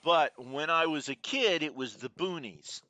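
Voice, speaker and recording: Forty-something guy from houston, bright crisp voice, dry close mic, rambles with lots of ums. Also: on the high side, unhurried, dry recording. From Houston, Texas. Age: 40s. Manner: rambling storyteller with many fillers. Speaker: podcast co-host. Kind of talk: casual chat.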